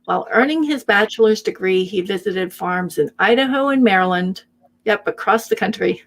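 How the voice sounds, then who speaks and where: A woman's voice: mid-range voice, lively intonation, moderate pace. A woman hosting a live show, reading out a guest introduction with small slips and self-corrections. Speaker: host.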